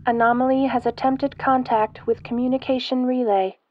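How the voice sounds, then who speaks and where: A woman's voice: high-pitched, normal speed, soft background music, close to an everyday voice. Woman as an impassive, clipped ship computer, lo-fi. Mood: impassive, warning. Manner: flat, clipped, formal announcements. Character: impassive female ship computer, procedural, alarm-giving machine. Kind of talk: sci-fi audio roleplay announcements.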